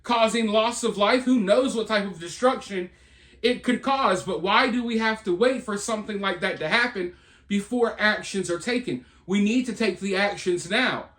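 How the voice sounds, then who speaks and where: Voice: mid-range voice, moderate pace, fairly bright tone. A host presenting news and commentary in an informal, conversational and emphatic way. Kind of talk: reading a news report with off-the-cuff opinion commentary. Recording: roomy, echoey sound.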